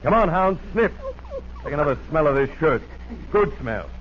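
Male actor as commanding posse lawman, old radio drama: level-headed, authoritative, highly animated pitch, muffled vintage recording. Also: normal speed, background noise, close to an everyday voice. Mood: urgent commanding. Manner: urgent, authoritative, barking orders. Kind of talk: 1940s radio acting.

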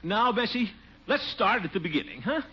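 Blustery flustered pompous official, old 1940s radio comedy, voice leaps all over, muffled noisy recording. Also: male voice, high-pitched, very animated, moderate pace. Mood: bumbling, polite. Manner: blustery, easily flustered, exclaiming and chuckling. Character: pompous, flustered middle-aged man, acting police commissioner.

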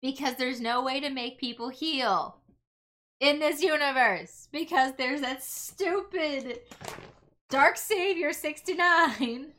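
High-voiced woman with sarcastic banter. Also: slow, bright, forceful tone. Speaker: player. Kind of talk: tabletop game play, in and out of character.

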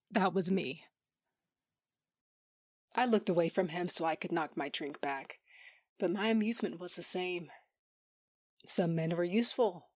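A woman's voice: fairly low pitch, no echo, clean studio sound. A woman playing a tough, sardonic young fighter who tells her own story intimately in an audio drama, sometimes dropping to a whisper. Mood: wry, amused. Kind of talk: first-person audio drama narration.

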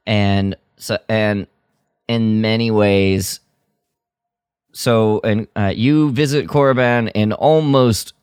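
A man co-hosting a casual podcast discussion, talking in a halting way as he thinks aloud. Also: fairly low pitch, fairly even intonation, darkish tone, clear, resonant tone, no echo, studio quality. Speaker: podcast co-host.

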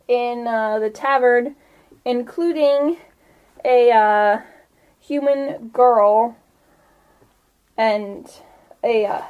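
A woman narrates a tabletop game as its game master. Her voice sits on the high side and she takes her time, feeling out the scene haltingly. The delivery is casual and giggly. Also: dull audio.

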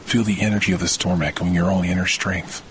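Deep male voice doing sleep meditation, slow n soothing w long pauses, rain and thunder behind. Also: steady tone, noisy background. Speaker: podcast host and meditation guide. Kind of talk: guided sleep meditation narration. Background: rain and thunder sounds.

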